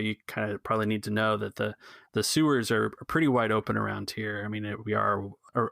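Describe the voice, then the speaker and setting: A man hosting a tabletop podcast as game master, earnest and sometimes halting as he speaks. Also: fairly low pitch, gravelly, a bit bright, dry recording, clean studio sound. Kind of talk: tabletop game roleplay narration.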